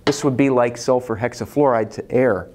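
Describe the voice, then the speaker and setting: A man's voice: mid-range voice, normal speed, a little mellow. A middle-aged American man presenting a casual science demonstration in a colloquial, playful way with joking asides. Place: classroom laboratory, indoors. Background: music.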